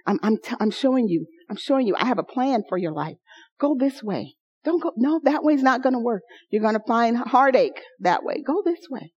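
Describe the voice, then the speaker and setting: Brief: God's guiding inner voice, put on by a woman preacher, steady and firm. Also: a little high, normal speed, husky, highs cut off. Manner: firm, repetitive warnings.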